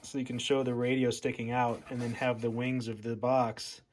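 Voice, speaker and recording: A man's voice: mid-range voice, little pitch movement, normal speed. An American man giving a casual, hands-on demonstration as a hobbyist, recorded at home with a furnace running. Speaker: hobbyist presenter of a radio overview. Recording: loud furnace noise behind.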